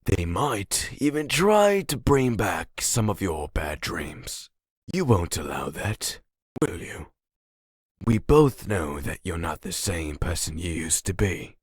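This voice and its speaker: Comic-dub doctor, male actor: low husky voice, slow measured pace, polite but patronising. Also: big pitch swings, dry recording, clean studio sound, exaggerated voice. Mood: formal, reassuring. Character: formal, smooth-talking, satisfied male institute doctor.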